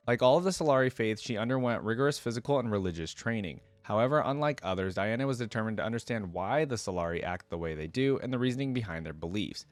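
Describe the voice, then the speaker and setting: A man's voice: on the low side, dry recording. A man hosting a reaction channel, reading lore aloud and commenting as a fan in an informal, slangy way.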